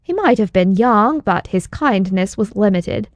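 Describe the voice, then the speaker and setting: A woman's voice: mid-range voice, animated, measured pace, dry recording. A woman reading scripted story narration as an audiobook narrator.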